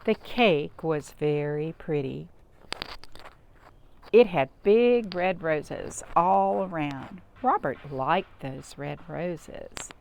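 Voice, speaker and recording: A woman's voice: sits low, vocal fry, a little mellow, slight background noise. A woman hosting a children's storytime, chatting and reading aloud, often putting simple questions to her young listeners. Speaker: library storytime host and reader. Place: at home, in a cottage.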